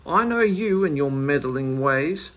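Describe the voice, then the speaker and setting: A man voicing a sharp little fellow in a children's story, rude, curt and scornful. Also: fairly high pitch, animated, a little slow. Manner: curt, mocking.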